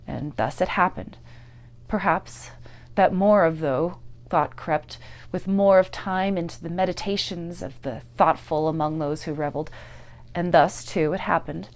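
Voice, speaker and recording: Woman giving a dramatic literary reading. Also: on the low side. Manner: theatrical, deliberate phrasing with strong swells and hushes. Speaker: actor and narrator. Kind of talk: dramatic literary story narration.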